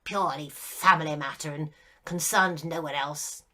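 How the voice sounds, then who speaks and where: A woman's voice: low-pitched, normal speed, bright, forceful tone. A woman reading a story aloud, voicing a petulant, lonely old male ghost who turns meek and weary.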